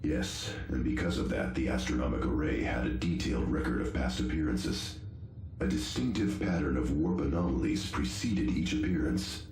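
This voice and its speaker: A solemn, learned armoured tech-priest with a bottomless, creaking voice. He delivers formal, exact game briefings in a roomy echo, with faint music underneath. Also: rumbling low, normal speed, a little mellow. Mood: solemn, certain. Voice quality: gravelly. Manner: formal, precise, expository. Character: solemn scholarly space marine tech-priest. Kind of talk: video game briefing acting.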